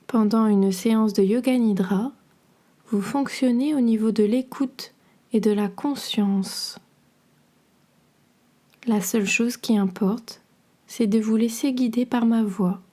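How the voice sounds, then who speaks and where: A woman with a fairly high, softly breathy voice leads a guided relaxation session. Her delivery is very slow and measured, with a dark, velvety tone. She gives each instruction soothingly. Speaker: podcast host and yoga nidra guide.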